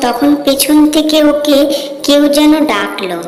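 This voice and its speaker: A woman's voice: high voice, a little slow, gravelly, darkish tone. A woman reading a scripted horror story as its narrator. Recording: reverberant echo, music behind.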